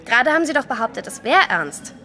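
An actress playing a brisk, wary young waitress in an audio drama, curt and sceptical in manner. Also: female voice, fairly high pitch, sing-song, pushed and bright, heavily acted. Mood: curt, dismissive. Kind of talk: German audio drama acting.

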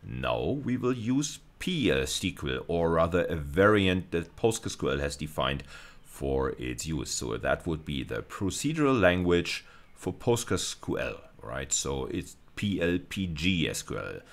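Very deep-voiced man lecturing. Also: sing-song. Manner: measured, hesitant, explanatory, with frequent check-in tags. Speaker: university lecturer. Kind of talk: recorded technical lecture.

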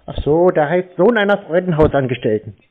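A man's voice: a little high, expressive, fairly quick. A man in casual phone banter, answering tersely and teasingly with a straight face. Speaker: prank call taker stringing scam callers along. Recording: muffled narrow-band phone call audio.

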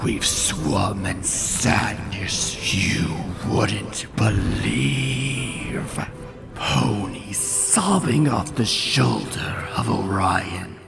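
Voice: sits low, very slow, gravelly, full character voice. A performer voicing a sinister storm cloud monster in a comic dub, gloating over sadness in a menacing, taunting half-whisper. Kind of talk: comic dub villain acting. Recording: voice over background music.

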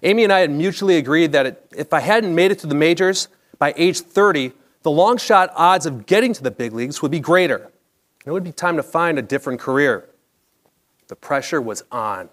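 A man's voice: on the high side, lively intonation, fairly quick. A middle-aged American man reading a scripted personal story on stage with a practised broadcaster's delivery. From Chicago area, United States. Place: theatre stage before a live audience. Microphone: clip-on lapel mic.

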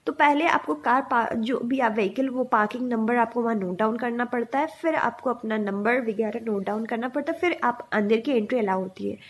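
A woman's voice: fairly high pitch, a little fast, few pauses. A woman in her twenties narrating a casual food vlog, chatty and enthusiastic, mixing in English words. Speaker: food vlogger.

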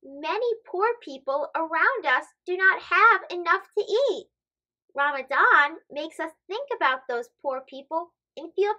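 A woman reading a children's storybook aloud in a careful, expressive, child-directed manner. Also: very high voice, lo-fi sound.

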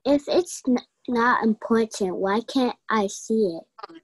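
A woman's voice: fairly high pitch, takes it slowly, husky, no room sound, low-quality recording. A young woman playing an insistent murder investigator in an amateur radio play. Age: young adult.

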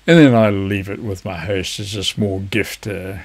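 A man speaks in a fairly low voice. He presents practical advice informally, in a measured, explanatory way, as if talking straight to the viewer. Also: fairly even intonation. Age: older, around 70s. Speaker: amateur radio operator, video presenter. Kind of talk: informal instructional talk to camera. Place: indoors, seated before a virtual beach backdrop.

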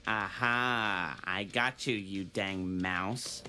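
A man voicing an obsessive, fussy cartoon creature, muttering with quiet menace. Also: low voice, very slow, over music. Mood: vengeful.

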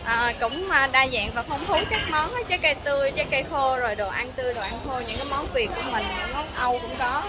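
A woman's voice: high voice, fast talker, few pauses. A woman, chatting through a casual travel vlog as she walks around a hotel breakfast buffet, with plenty of fillers. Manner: chatty, narrating while walking, many fillers. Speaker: travel vlogger. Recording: loud music under voice. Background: loud background music.

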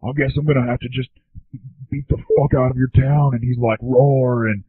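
An actor playing a dim, excitable slacker dude in a comedy skit, rambling in profane stoner bro talk with a husky voice. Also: male voice, medium pitch, normal speed, close to an everyday voice. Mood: excited storytelling. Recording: narrow, dry telephone-like sound.

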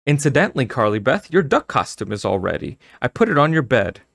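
A man voicing an energetic, insistent middle-aged mother in a storybook, with a brisk, emphatic manner. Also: mid-range voice, creaky, dry recording, studio quality, voice pushed up, exaggerated voice. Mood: bright, brisk.

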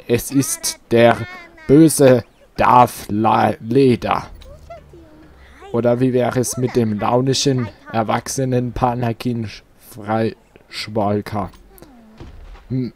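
A man voicing an excitable video game festival-goer gushing over favourite puppet heroes, with drawn-out, emphatic delivery. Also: mid-range voice.

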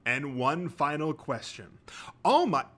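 A man's voice: a little high, sing-song, slow, fairly bright tone, voice pushed up, exaggerated voice. A man voicing an emphatic student quizmaster in an audiobook, announcing in a projected game-show manner. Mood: showy.

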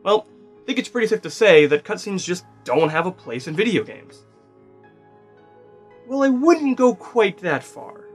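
A man's voice: sits high, sing-song, unhurried, long pauses, husky. A man, giving emphatic, conversational scripted commentary as a video essayist in a studio. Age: 20s. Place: small studio with acoustic foam. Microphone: studio microphone. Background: music.

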